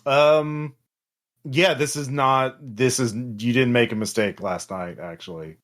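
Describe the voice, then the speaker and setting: A man narrating as game master of a tabletop roleplaying game, in a halting, improvised way. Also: medium pitch, fairly even intonation, fairly bright tone.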